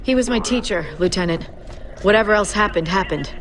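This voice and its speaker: An actress playing a guarded, stoic young military cadet, terse and composed, in a video game. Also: female voice, mid-range voice, fairly quick, a crowd in the background, lightly acted. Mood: guarded, subdued. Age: young adult. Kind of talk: video game dramatic acting.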